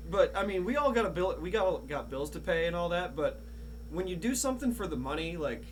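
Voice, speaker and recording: Man, slightly high voice, casual podcast host, profane, halting stop-start delivery, humming background noise. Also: expressive. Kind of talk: casual chat. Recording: audible background noise and hum.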